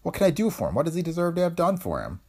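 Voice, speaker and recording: A man's voice: fairly high pitch, wildly swooping pitch, rapid-fire, a little mellow, breathy edge, voice pushed up, full character voice. A man voicing a young male attorney who talks in a blunt, dry way. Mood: reluctant.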